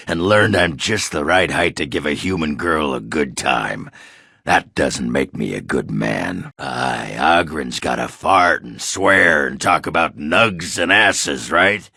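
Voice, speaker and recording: A hard-drinking dwarf warrior from a fantasy video game. The voice is rock-bottom deep and full of gravel, with a forceful edge. He talks bluntly and crudely, grunting and cursing like a seasoned berserker. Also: no echo, clean studio sound, heavily acted. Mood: rueful, brooding. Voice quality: gravelly, raspy. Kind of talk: video game character acting.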